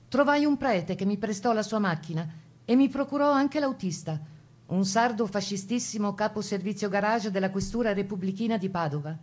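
A woman's voice: mid-range voice, darkish tone, natural voice. A woman playing a plucky Italian Resistance partisan, telling her wartime memories in the first person as a dramatized radio testimony. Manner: composed first-person storytelling.

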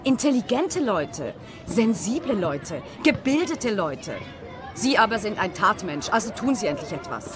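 An actress playing an agitated, indignant teacher and demanding client in a radio play, curt, reproachful and precisely spoken. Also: female voice, medium pitch, sing-song, measured pace, a crowd in the background. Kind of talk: radio crime play acting.

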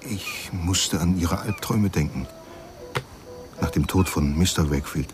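Very deep, probing man. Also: a little slow, music in the background. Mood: careful. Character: deferential but suspicious male acquaintance, probing. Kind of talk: radio play acting.

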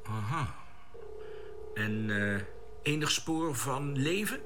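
A commanding spaceship pilot in a science-fiction radio play. His delivery is terse and decisive, with wide swings of pitch. The recording is old and clearly noisy. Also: expressive, slow. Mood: calm, inquiring. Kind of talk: Dutch science-fiction radio drama acting.

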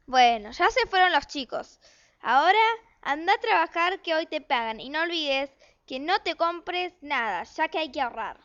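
A woman's voice: sits high, animated, moderate pace, fairly bright tone. A woman with an Argentine accent playing a bossy, harried, thrifty mother of two in an amateur radio play. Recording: dull, lo-fi recording.

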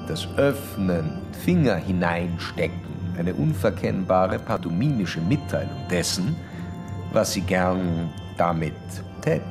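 An actor playing a self-assured, insistent psychoanalyst in a German radio drama, questioning with pedantic, learned formality. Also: male voice, low voice, sing-song, a little slow, music in the background, heavily acted. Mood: accusing, triumphant. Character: probing, self-assured male doctor psychoanalysing a young woman.

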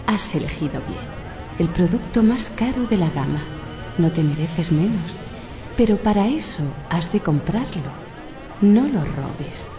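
Low, coaxing female inner tempter. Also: lively intonation, music in the background. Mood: persuasive. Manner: soothing, persuasive, unhurried. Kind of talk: surreal radio drama acting.

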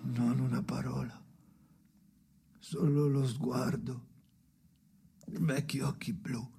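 Slow, gravelly, haunted old narrator. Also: male voice, medium pitch, flat delivery, a little hiss or hum. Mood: hushed, brooding. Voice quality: gravelly, creaky. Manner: slow, fragmented, halting delivery with hushed whispery phrases. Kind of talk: dramatic monologue in a stage-style radio play.

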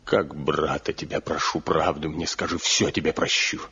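An actor playing a proud, anguished master craftsman in a Soviet radio play, speaking gravely and dramatically. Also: extremely low pitch, fairly even intonation, moderate pace, sharp tone, full character voice. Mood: anguished pleading. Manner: grave, solemn, dramatic delivery. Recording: dull, muffled 1970 radio play recording.

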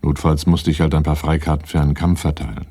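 A man acting as the self-assured, watchful first-person narrator of a crime radio drama, measured and understated. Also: rumbling low, level tone, warm tone, breathy edge. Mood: reflective. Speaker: actor narrating a radio play.

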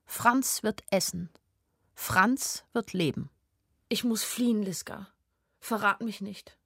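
A woman's voice: medium pitch, slow, dry recording, studio quality. An actress playing a frightened, loving young woman on the run in a radio drama, telling her story in short, intense sentences. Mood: shocked, then urgent, secretive. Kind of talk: radio drama, first-person inner narration.

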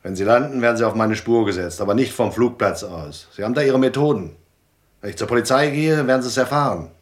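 An actor playing a tough but anxious gangster dropout in a German radio drama, speaking in a guarded, terse way. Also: male voice, medium pitch, fast talker, natural voice. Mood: tense, urgent.

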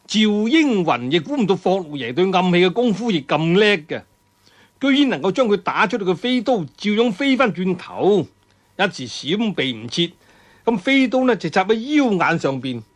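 Cantonese guy telling a kung fu story, really high voice, swoops up and down, dramatic. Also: sing-song, normal speed. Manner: dramatic, emphatic delivery with exclamations and sound words. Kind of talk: traditional Cantonese storytelling of a martial arts novel.

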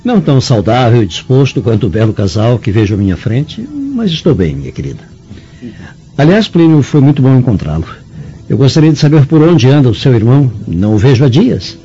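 A man acting an old Roman aristocrat in a radio play, courteous and ingratiating. His voice is mellow, with very lively swings in intonation. The sound is muffled. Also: medium pitch, a little fast, lightly acted. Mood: genial. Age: older. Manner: courteous, theatrical, hedging. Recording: muffled radio drama recording.

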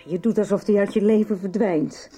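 A woman's voice: mid-range voice, normal speed, dark tone, breathy edge, soft background music, lightly acted. A middle-aged woman acting a baffled, defensive mother in a radio soap. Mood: concerned.

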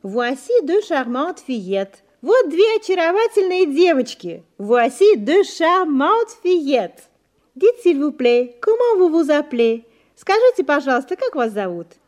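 High, animated woman radio host. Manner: formal, deliberate, repeats phrases. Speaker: radio host, guide and interpreter. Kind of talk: scripted bilingual language lesson.

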